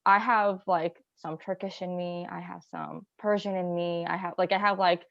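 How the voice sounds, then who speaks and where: A woman from Iran joins a journal club discussion over a video call. She thinks out loud and circles her point with lots of fillers. The audio is dry and a little dull. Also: on the low side, fairly even intonation, normal speed, no room sound. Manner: rambling, filler-heavy, thinking aloud.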